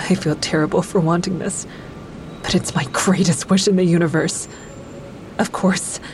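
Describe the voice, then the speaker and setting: An actress playing a bitter, grieving mother who is cold and unforgiving toward her ex-husband, in an audio drama with intense, deliberate delivery. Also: on the low side, a little mellow, natural voice. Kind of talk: full-cast audio drama, first-person narration and dialogue. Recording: clearly noisy outdoor traffic ambience.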